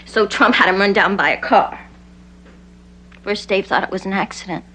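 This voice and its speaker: An actress playing an anxious, desperate young American informant in a 1960s television crime drama. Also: female voice, medium pitch, moderate pace, bright, forceful tone, husky. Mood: regretful, confiding. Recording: noisy old TV soundtrack with hum.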